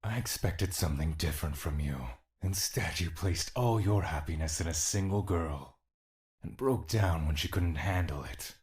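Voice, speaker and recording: An actor voicing a mocking trapped spirit, very deep. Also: normal speed, darkish tone, close to an everyday voice. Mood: taunting. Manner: cold, taunting and sarcastic.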